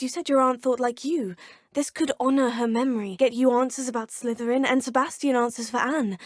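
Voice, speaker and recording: A woman's voice: a little high, darkish tone, no room sound, studio quality, close to an everyday voice. A woman voicing a curious schoolgirl witch. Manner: polite, inquisitive and earnest, often murmuring to herself. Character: curious teenage girl wizarding student. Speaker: player character. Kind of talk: video game voice lines. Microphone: close studio mic.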